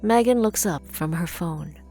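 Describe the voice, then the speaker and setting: A woman's voice: on the low side, measured pace, warm tone, soft background music. A woman giving scripted narration for a gritty superhero audio drama as its storyteller. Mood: measured.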